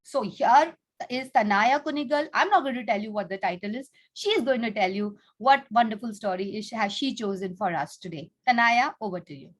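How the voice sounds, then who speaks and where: A woman with a fairly high-pitched voice speaks as a teacher and host on an online video call. She addresses children in an instructive way, laying out rules and prompting them with questions. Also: brisk.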